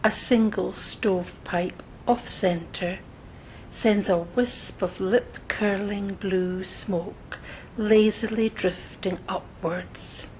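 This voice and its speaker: Very slow-speaking woman guiding meditation. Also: steady tone, a little mellow, rough recording. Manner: soothing, measured, pausing between short phrases. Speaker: meditation guide. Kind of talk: scripted guided meditation narration. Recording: noticeable low rumbling background noise.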